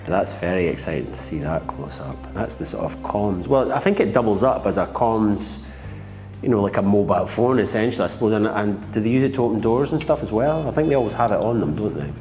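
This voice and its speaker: A man, an actor musing in a relaxed interview. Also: lowish voice, fairly quick, in a large hall, soft background music. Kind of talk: casual interview.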